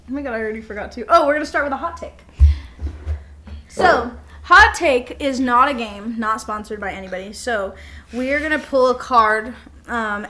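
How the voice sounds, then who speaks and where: Young woman, high pitch, bright tone, casual podcast chat, giggly, teasing, blunt. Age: young adult. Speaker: podcast co-host. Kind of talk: casual banter with a friend.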